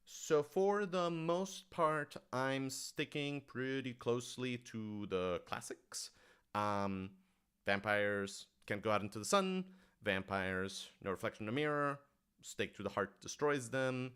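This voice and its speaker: A man's voice: mid-range voice, slow, a bit bright, smooth. A man hosting a casual livestream Q&A, talking informally and thinking aloud as he corrects himself. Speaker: podcast writer-director hosting a livestream Q&A.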